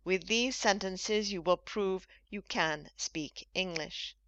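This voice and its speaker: Slow, clear-spoken woman teaching English. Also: mid-range voice, a bit bright, no room sound. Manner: slow, carefully enunciated speech for learners. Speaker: English teacher and podcast host. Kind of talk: scripted language lesson. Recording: dull lo-fi dry recording.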